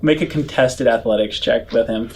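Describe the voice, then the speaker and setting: A man working as game master, narrating a tabletop game off the cuff in a loose, halting, conversational way. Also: medium pitch, darkish tone, slight background noise. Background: music.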